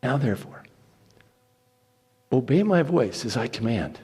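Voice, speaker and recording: Scheming mother read by a man: husky, firm, coaxing, dramatic scripture, echoing hall. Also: mid-range voice, sing-song, a little slow, a little mellow, full character voice. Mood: commanding. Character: commanding, scheming mother Rebekah.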